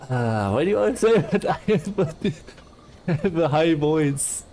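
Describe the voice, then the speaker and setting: A man streaming live and chatting casually with his viewers, soft and calm, often pausing on fillers. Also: fairly high pitch, a little hiss or hum. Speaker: livestreamer.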